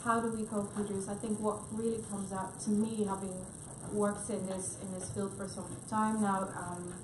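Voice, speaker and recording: A woman on a research panel talks things through with frequent restarts and a thoughtful, hesitant delivery. Her voice sits at a middling pitch. The room around her rings with echo, and steady noise runs underneath. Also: normal speed. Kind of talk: panel discussion remarks. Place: conference room, panel event.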